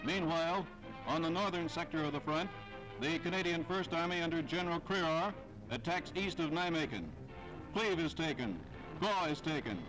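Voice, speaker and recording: A man's voice: fairly high pitch, moderate pace, poor audio. A man delivering scripted 1940s newsreel narration in a formal, declamatory way with dramatic phrasing. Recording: vintage 1940s newsreel soundtrack, loud music.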